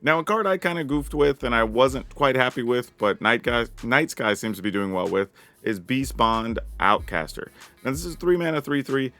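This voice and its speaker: A man with a raspy voice giving an upbeat, casual rundown of his card game deck as a channel host. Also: medium pitch, lively intonation, normal speed, sharp tone, no room sound. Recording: dry close mic with faint music.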